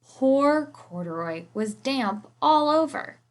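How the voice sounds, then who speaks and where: A woman reads a picture book aloud for children. Her voice is fairly high, with expressive, animated swings in pitch. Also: slow pace. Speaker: teacher reading aloud.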